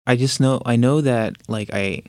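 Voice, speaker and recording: A man in his twenties who grew up in America, speaking in an interview in a careful, hesitant way, pausing and restarting often. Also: mid-range voice, darkish tone, no room sound. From United States. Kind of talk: personal interview talk.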